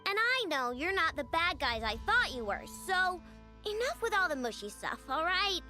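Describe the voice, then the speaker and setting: A woman's voice: very high-pitched, sing-song, moderate pace, bright, forceful tone, faint music behind, heavily acted. A woman voicing a feisty, cheeky young inventor girl in a video game.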